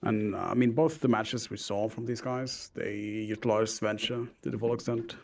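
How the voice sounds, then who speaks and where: A man's voice: fairly low pitch, fairly even intonation, moderate pace. A young man with a Norwegian accent co-casting an esports match, hesitant, with dry humor. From Norway. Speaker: esports co-caster. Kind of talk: casual esports commentary.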